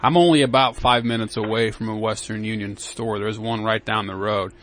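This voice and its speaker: A man's voice: lowish voice, steady tone, a little fast, a bit bright. A man chatting casually on a phone call, agreeable and sounding a little naive as he answers and asks simple questions. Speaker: prank call taker. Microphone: recording his side of a phone call.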